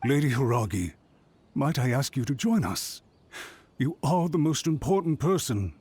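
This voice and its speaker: An actor playing an anxious clan official in a video game, formal, flustered and pleading. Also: male voice, medium pitch, sing-song, normal speed, darkish tone, husky, dry recording. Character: anxious male clan official, fretful and apologetic.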